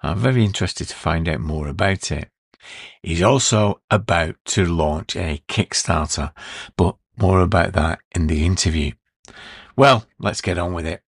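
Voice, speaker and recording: Low voiced guy hosting a podcast, chatty and relaxed, dry close sound. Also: normal speed, vocal fry, breathy edge, no echo. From Britain. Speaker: podcast host. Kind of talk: podcast intro and interview. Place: room.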